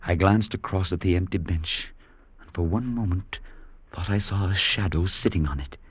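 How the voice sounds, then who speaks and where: A grave priest narrator, very deep. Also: male voice, moderate pace, husky, no echo. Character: calm middle-aged male priest narrating. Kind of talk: 1940s radio acting.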